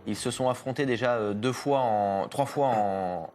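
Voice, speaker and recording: A man's voice: mid-range voice, unhurried, darkish tone, a little hiss or hum. Chatty male race commentator. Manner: chatty, hesitant, many fillers and restarts. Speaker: motorsport commentator tied to a team.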